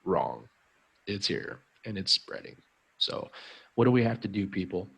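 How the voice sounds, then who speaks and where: Gravelly-voiced American man, upbeat tutor. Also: sits low, sing-song, a little slow, fairly bright tone. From United States. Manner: friendly, upbeat, many ums. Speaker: English tutor hosting a live stream. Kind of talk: casual live-stream chat.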